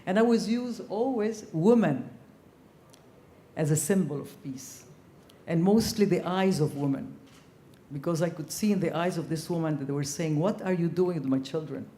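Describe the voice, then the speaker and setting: An older woman from Ecuador speaking on a panel in a large conference hall, her voice mellow and warm. She talks earnestly and reflectively, filling her pauses with ums. The room's echo trails after her words. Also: fairly low pitch. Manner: earnest, reflective, frequent ums, growing animated. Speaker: panelist, former ambassador. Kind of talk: panel discussion storytelling.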